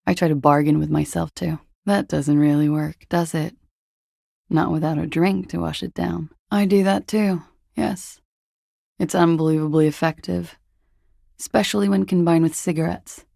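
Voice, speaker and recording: Troubled young female spy, very heavy vocal fry, dark mellow tone, deadpan, guarded, video game dialogue. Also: on the low side, no echo, clean studio sound, exaggerated voice. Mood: wry, deadpan.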